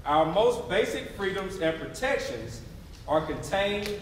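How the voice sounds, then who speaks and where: A man's voice: high voice, big pitch swings, unhurried, a little hiss or hum. Man orating in a very echoey hall. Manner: emphatic, declamatory, preacher-like call and response. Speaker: stage narrator. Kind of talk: dramatic readers theatre oration. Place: theatre stage before a responding audience. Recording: very echoey live hall, distant mic.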